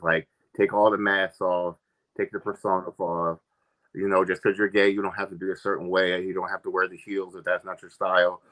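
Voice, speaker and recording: A man with a deep voice, a guest on a talk show, answering in a reflective, halting way. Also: pushed and bright, low-quality recording. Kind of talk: interview answers.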